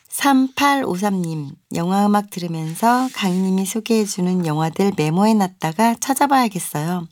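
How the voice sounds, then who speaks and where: A young woman hosts a radio music program in a relaxed, chatty way, reading out listener messages and adding friendly asides. Her voice sits on the low side. The sound is dry and close, with no room around it. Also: no room sound. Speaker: radio host.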